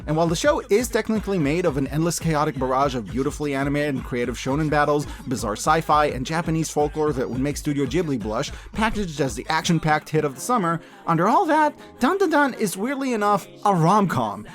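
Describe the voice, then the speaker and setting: A man's voice: on the high side, lively intonation, a little fast, hardly any pauses, faint music behind. A man hosting a scripted media review, speaking casually and emphatically with enthusiasm and salty language. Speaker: host of a media review channel.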